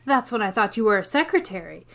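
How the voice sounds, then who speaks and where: A woman playing a sardonic high school teacher in a radio comedy, with a dry, wisecracking manner. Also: very animated, fast talker, creaky, a bit bright. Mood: wry, disapproving. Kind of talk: 1950s radio comedy acting.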